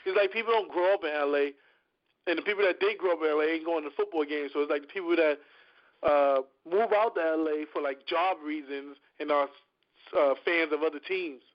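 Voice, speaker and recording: A man's voice: on the high side, a little fast, highs cut off. A man calling into a casual podcast, agreeable and mostly giving short replies. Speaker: caller. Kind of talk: casual call-in chat.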